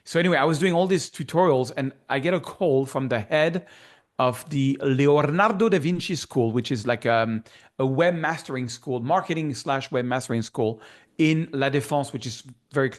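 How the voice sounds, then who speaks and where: A middle-aged man with a French accent talks as an interview guest. His pitch sits in the middle range for a man. He rambles with real enthusiasm, restarting his sentences and leaning on fillers. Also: normal speed. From France. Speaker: guest photographer. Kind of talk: casual interview conversation.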